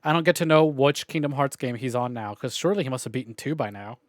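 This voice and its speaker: A man's voice: mid-range voice, fast talker, dry recording, clean studio sound. A young man streaming a game, chatting casually with his chat, joking and swearing freely.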